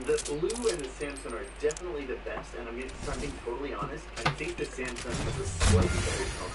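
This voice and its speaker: A man with a deep voice talks candidly to his viewers, comparing gear in an easy, relaxed way. He sits some distance from the microphone, and loud steady background noise runs under everything he says. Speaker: streaming gear reviewer. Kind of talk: casual product review to camera.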